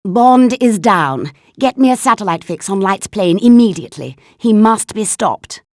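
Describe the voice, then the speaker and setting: An actress playing a stern intelligence chief in a video game, formal, clipped and commanding. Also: female voice, medium pitch, expressive, moderate pace, no room sound, clean studio sound, close to an everyday voice. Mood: urgent command. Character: stern, authoritative female spy agency chief. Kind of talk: scripted video game mission briefing.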